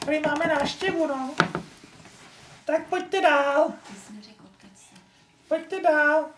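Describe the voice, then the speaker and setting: Woman voicing a very high-pitched cheeky boy marionette. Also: fairly even intonation, natural voice. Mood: chatty, playful. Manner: playful, cheeky, chatty, interjecting. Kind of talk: improvised puppet show for a small child. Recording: echoey room, noisy amateur home recording.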